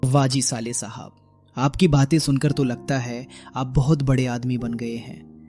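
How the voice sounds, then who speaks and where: A man playing a flattering, money-minded brother-in-law in a village cartoon. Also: mid-range voice, measured pace, soft background music, close to an everyday voice. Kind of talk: Hindi cartoon story acting.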